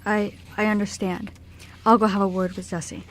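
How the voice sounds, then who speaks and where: A woman's voice: mid-range voice, level tone, normal speed, slight background noise, lightly acted. A woman playing a kindly, reluctant orphanage worker in an amateur radio play, apologetic and hesitant. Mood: worried, reluctant. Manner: soft-hearted, apologetic, hesitant.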